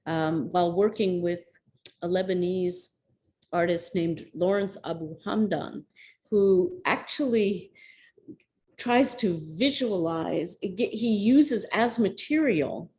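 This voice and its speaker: A woman's voice: on the low side, slow pace, a little mellow. Woman answering a question on a video call. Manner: thoughtful, searching, with restarts. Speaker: guest lecturer. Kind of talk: academic lecture Q&A answer.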